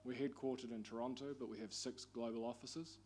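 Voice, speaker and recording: A middle-aged man with a New Zealand accent addresses a conference panel. He speaks in a measured, formal way at a moderate pitch. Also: steady tone, darkish tone. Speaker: panel speaker, investment executive. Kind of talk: conference presentation.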